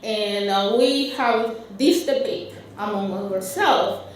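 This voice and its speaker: A woman from Honduras with a Honduran Spanish accent giving a conference talk with slides, speaking haltingly with frequent restarts and fillers. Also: mid-range voice, a little hiss or hum. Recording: roomy hall, speaker far from mic.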